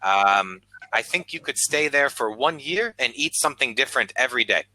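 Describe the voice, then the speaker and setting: American male tutor on video call, bright and animated, dry close mic. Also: medium pitch, no echo, low-quality recording. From United States. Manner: patient, encouraging, speaks slowly and clearly with many fillers. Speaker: online English tutor. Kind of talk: casual conversation lesson.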